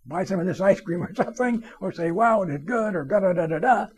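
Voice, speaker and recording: A man's voice: sits high, fast, breathy edge, voice pushed up. Guy doing a flustered radio dj, voice hiked up, scratchy, kinda incredulous. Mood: hopeful, showy. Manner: incredulous, questioning.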